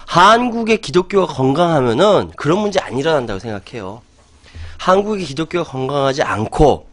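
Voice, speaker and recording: Animated, opinionated male talk host. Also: a little high. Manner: animated, opinionated, laughs often. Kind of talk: talk show discussion.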